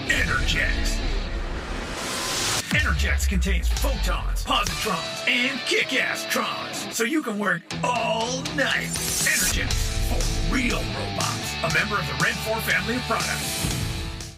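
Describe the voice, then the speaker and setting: A performer voicing a pushy, upbeat announcer in a parody commercial for robots, with deliberate slogan-like delivery. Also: mid-range voice, animated, far from the mic, over loud music. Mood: salesy, upbeat. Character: cheery, pushy energy-product ad announcer for robots.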